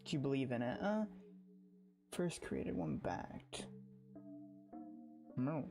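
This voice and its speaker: Casting: informal livestream gamer, mid-pitched voice, excitable and rambling, music playing underneath. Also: slow pace. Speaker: game streamer. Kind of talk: casual livestream chat.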